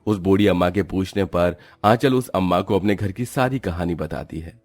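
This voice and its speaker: A man narrating a scripted animated moral tale as its storyteller. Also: low voice, normal speed, dry recording, faint music behind.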